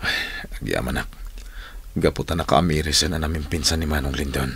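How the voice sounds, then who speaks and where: Subdued, worried younger brother. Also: male voice, rumbling low, steady tone, fairly quick, vocal fry, fairly bright tone, slightly rough, heavily acted. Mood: brooding. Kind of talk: Ilocano radio drama acting.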